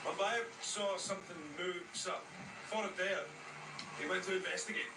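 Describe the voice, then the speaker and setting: Man acting a high-voiced, uneasy sailor. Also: fairly even intonation, normal speed, natural voice. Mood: resigned, uneasy. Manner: deferential, uneasy, clipped phrases. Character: fearful, downtrodden, superstitious male sailor. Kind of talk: audio horror drama acting. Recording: distant, echoey, noisy replay.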